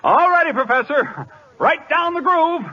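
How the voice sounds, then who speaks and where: An actor playing a chattering, boisterous young baseball catcher with slangy talk, in 1940s radio style. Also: male voice, very high voice, expressive, measured pace. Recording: dull old radio broadcast.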